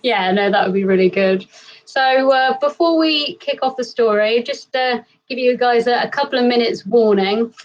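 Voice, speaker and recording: A woman with a British accent speaks in a fairly high voice, chatting informally as the host of an online event. The sound is a little dull and lo-fi, as on a video call. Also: normal speed. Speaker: host of an online fan convention. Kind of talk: casual livestream chat.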